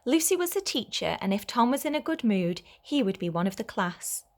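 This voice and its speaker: A woman's voice: on the high side, big pitch swings, brisk, a little mellow. Woman reading a children's storybook. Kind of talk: children's storybook read-aloud.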